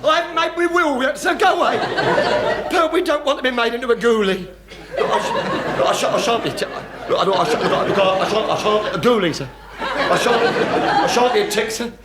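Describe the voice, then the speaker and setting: A cowardly chief petty officer in an old radio sitcom. His voice sits high, and he stammers, repeats himself and rambles in a fluster. The recording has clear background noise. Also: expressive, fairly quick, over a noisy crowd. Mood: panicking. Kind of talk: 1960s radio sitcom acting.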